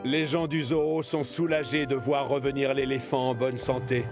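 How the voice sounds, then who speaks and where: A man's voice: medium pitch, level tone, normal speed, over music. A man narrating a scripted children's cartoon story.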